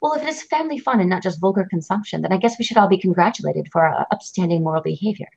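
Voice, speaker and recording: A woman's voice: fairly low pitch, animated, very fast, gravelly, a little mellow. A woman voicing a cold, ambitious lawyer wife who speaks in a condescending, wordy way. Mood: sardonic. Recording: dull lo-fi recording.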